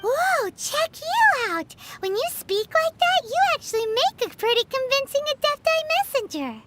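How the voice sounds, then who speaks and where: A woman voicing a tiny childlike fairy companion in a video game, squeaky, chatty and cheeky. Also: sing-song, normal speed, a bit bright, slight background noise, full character voice. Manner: refers to self in third person, cheeky.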